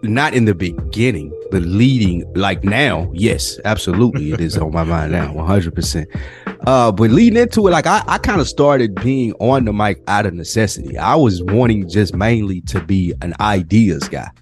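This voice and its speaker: A man hosting a podcast, telling stories in casual, animated talk full of slang and swearing. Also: on the low side, moderate pace, over music. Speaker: podcast host.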